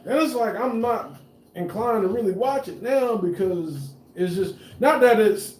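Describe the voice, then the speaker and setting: A man's voice: high-pitched, measured pace, roomy sound, far from the mic, slight background noise, poor audio. A man in his thirties, a filmmaker guest, chatting expressively on a podcast. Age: 30s. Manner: expressive, jumps into playful quoted voices. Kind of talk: casual chat.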